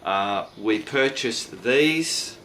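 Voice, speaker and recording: Low, bright-voiced Australian bloke. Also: male voice, lively intonation, very slow, a little hiss or hum. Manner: blokey, slangy, mildly sweary. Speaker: product review video host. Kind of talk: casual hands-on product review.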